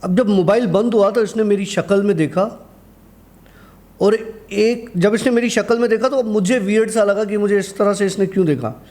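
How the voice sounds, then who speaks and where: A man's voice: high voice, normal speed, darkish tone. A man telling a personal horror anecdote in a conversational way, acting out the dialogue as he goes. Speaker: guest storyteller.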